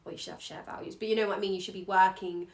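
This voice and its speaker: A woman's voice: medium pitch, steady tone, very fast. A woman giving casual advice as an astrologer guest, informal and often checking in with tag questions. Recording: roomy echo, faint background noise.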